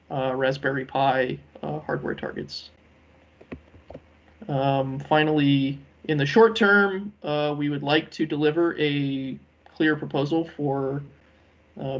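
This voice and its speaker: A man with a fairly high, gravelly voice presents a proposal on a conference call. His delivery is measured and hesitant, broken up by frequent ums, and his pitch stays very flat. The audio is dull and slightly lo-fi. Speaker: working group lead presenting a proposal.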